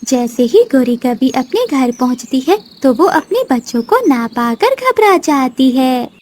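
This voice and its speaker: A woman narrator with a very high voice reads a children's story. Her pitch moves in a lively, animated way through the scripted telling. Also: unhurried.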